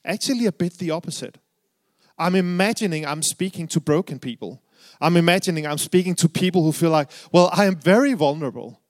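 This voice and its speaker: Danish-accented man preaching a sermon. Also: fairly high pitch. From Denmark. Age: middle-aged. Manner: earnest, halting with fillers and restarts.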